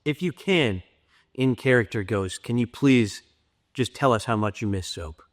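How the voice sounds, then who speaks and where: A man's voice: medium pitch, expressive, unhurried. A man hosting a casual interview, asking questions in informal, slightly hesitant phrasing. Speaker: interviewer, host.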